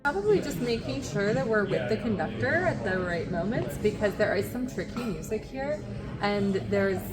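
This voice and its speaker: A woman's voice: mid-range voice, lively intonation, normal speed, a loud crowd. A woman from Orlando, an opera singer, giving casual interview answers with chatty restarts. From Orlando, Florida. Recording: loud background music.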